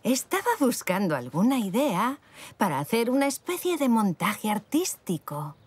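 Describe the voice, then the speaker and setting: A woman voicing an enthusiastic, artistic grown-up female zebra in a children's cartoon, gushing and animated. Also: on the high side, moderate pace, breathy edge. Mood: inspired. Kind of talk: children's animated series dub.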